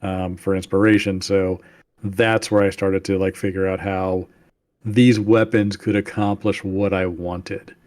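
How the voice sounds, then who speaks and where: A man who is a game developer, a guest on a casual podcast, explaining in a measured way. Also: sits low, level tone, a little mellow.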